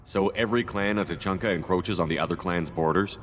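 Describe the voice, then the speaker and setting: Low, brisk military commander played by a man. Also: in a large hall, slight background noise, lightly acted. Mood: businesslike. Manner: direct, businesslike. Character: male human military commander, cool and businesslike. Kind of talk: video game dialogue.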